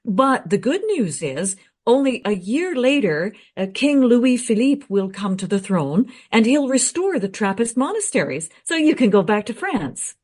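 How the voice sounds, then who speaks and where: A woman playing a well-informed, matter-of-fact history researcher in a radio play, explaining things briskly and frankly. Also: fairly high pitch, big pitch swings, darkish tone. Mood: informative, tactful.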